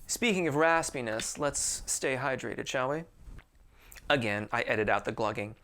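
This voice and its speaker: A man's voice: a little high, gravelly, pushed and bright. A man who teaches singing, answering viewer questions in a casual, friendly way and often restarting his sentences. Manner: chatty and informal, with frequent restarts.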